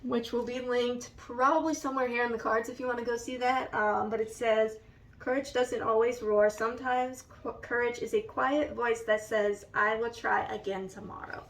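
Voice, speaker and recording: A woman with a raspy, allergy-hoarse voice, slowly and hesitantly showing a scrapbook in a casual vlog. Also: on the high side, fairly even intonation, far from the mic, rough recording. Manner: slow and hesitant, many ums. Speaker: vlogger. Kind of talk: casual show-and-tell vlog.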